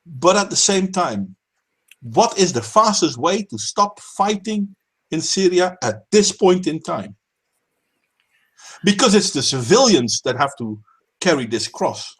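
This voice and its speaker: A man's voice: high-pitched, very animated, a little slow, breathy edge. A man hosting a casual stream chat, talking politics emphatically, swearing and repeating words as he starts sentences. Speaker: stream host.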